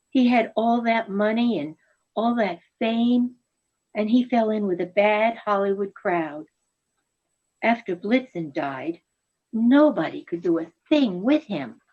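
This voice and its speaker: A woman playing a gentle, affectionate reindeer wife in a genteel radio play style. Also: medium pitch, slow pace. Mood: fond, wistful. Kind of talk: reader's theatre radio play acting. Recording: dull, muffled recording.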